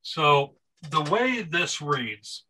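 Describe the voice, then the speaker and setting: A man in his thirties with a bright, forward tone, reading from his notes and talking through them on a podcast. He speaks on a studio microphone from a home room full of books, in a steady, even way. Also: fairly high pitch, extremely slow. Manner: reads quotes from notes in a steady voice. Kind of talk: casual chat, reading aloud. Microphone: headphones with a large studio mic on a boom.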